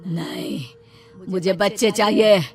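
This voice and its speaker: Vengeful female witch ghost, played by a woman, drawn-out taunts, cackling menace, cartoon story, music underneath. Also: mid-range voice, fairly even intonation, a little mellow, recorded from a distance, natural voice. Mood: bitter, vengeful.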